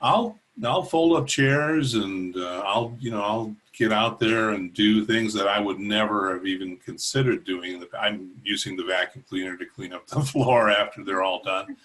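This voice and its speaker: A man speaking as a guest over a video call, talking haltingly with many restarts and light laughs. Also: lowish voice, fairly bright tone, rough recording. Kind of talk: casual chat.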